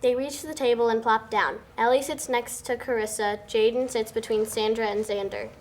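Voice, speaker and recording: A young woman narrates stage directions for a table read. Her voice sits on the high side, with a little noise behind it. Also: fairly even intonation, moderate pace. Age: young adult. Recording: faint background noise.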